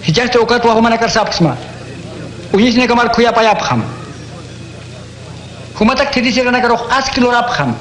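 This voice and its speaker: An actor playing a calm male preacher who teaches crowds in a steady, preaching manner, in a radio drama. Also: very high voice, normal speed, pauses often. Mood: teaching. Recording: echoey room, faint noise.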